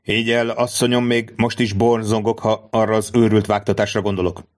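A man voicing a composed, formal nobleman count in an audiobook, calm and dignified. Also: lowish voice, close to an everyday voice. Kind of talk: audiobook reading of a classic novel.

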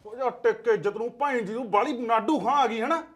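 A man's voice: high voice, quick pace, fairly bright tone. A man playing a placating village husband. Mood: threatening. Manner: coaxing, then snapping and threatening. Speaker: actor in a family quarrel skit.

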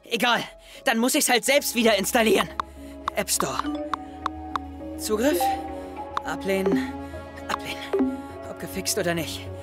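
A man's voice: sits high, lively intonation, a little slow, long pauses. A young man playing an anxious, brooding teenage boy in an introspective, tense audio drama monologue. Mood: agitated. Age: young adult. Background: music.

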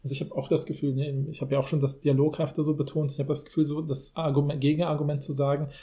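A man's voice: on the high side, level tone, fast, dark tone. A man hosting a conversational podcast discussion, wordy and searching, often rephrasing himself as he goes. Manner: searching, self-correcting, wordy. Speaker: podcast host.

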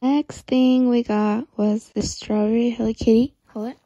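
A woman's voice: fairly high pitch, flat delivery, normal speed, warm tone, dry recording, muffled recording. A young woman vlogging casually, chatting upbeat with lots of filler words, filmed on a phone held at arm's length. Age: young adult. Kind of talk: casual vlog chat. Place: outdoor flea market, later indoors at home.

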